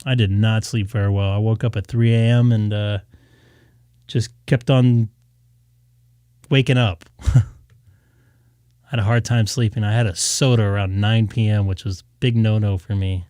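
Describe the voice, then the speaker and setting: A man's voice: fairly low pitch, steady tone, moderate pace, pauses often, warm tone, clean tone, no echo. Male streamer, tired, chatty, fillers, clean resonant voice, dry room. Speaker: ham radio live streamer. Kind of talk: casual live stream chat.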